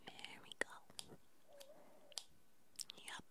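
A woman doing a gentle, pampering ASMR roleplay, speaking with many pauses. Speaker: ASMR performer. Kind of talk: whispered ASMR personal attention roleplay.

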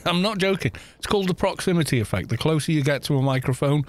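A man's voice: a little high, expressive, brisk. A man streaming a game, chatting casually in a rambling, self-deprecating way on a close desk mic.

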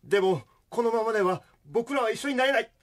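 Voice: mid-range voice, lively intonation, a little fast, close to an everyday voice. An actor playing an earnest, determined young boyfriend, speaking with resolute sincerity in a radio drama.

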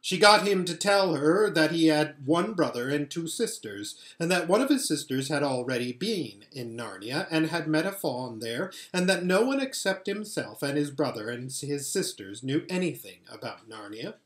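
A man's voice: a little high, expressive, moderate pace, few pauses, a bit bright, echoey room. A middle-aged man reading a children's novel aloud expressively, at home. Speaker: storybook reader. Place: living or dining room at home, indoors.

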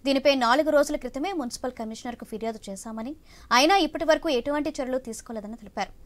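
A woman news anchor reading a scripted report in a brisk, even newsreader manner from a television studio. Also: sits high.

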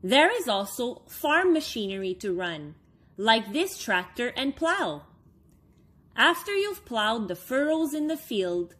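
Woman reading as patient farmer uncle, highish voice. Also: fairly bright tone, husky, heavily acted. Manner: instructive, patient. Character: helpful adult male farmer uncle, patiently explaining.

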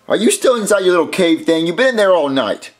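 Puppet comedy kid, played by a man: naive, fretful, hesitant, repeats himself, lively swooping delivery over music. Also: high voice, moderate pace. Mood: sleepy, groggy. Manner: hesitant, stammering, repeats phrases when worried. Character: whiny, anxious, naive little puppet boy with pets. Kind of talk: scripted puppet comedy acting.

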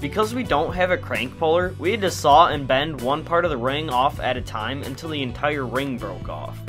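Teenage male vlogger, slightly high voice, playful narration over guitar music. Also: expressive, moderate pace, fairly bright tone. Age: teens. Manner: jokey, upbeat, playful. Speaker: channel host. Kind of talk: casual DIY vlog narration.

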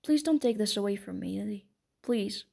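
A woman narrator voicing a remorseful young man who pleads quietly. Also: mid-range voice, lively intonation, moderate pace, vocal fry, slightly rough, heavily acted. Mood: pleading.

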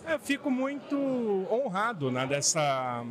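A man, answering an interview politely in halting, measured phrases. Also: sits high, sing-song, fairly bright tone. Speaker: interviewed guest. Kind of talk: spontaneous on-camera interview. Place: indoor hall at community event. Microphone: handheld interview microphone held by interviewer. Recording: crowd chatter behind the voice. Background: clear crowd murmur.

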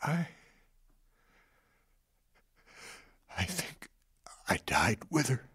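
A man playing a gruff, irritable estate manager with a blunt, grumbling manner in an audio drama. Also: medium pitch, very animated, unhurried, a bit bright, dry recording, studio quality, exaggerated voice. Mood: subdued, grieving.

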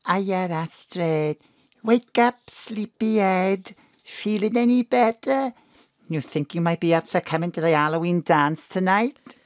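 A woman's voice: fairly low pitch, measured pace, dry recording, studio quality, close to an everyday voice. An actress playing a doting, chatty, slightly daffy middle-aged mother with a Welsh accent, fussing with endearments in an audio drama. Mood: coaxing. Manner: fussing endearments and tag questions.

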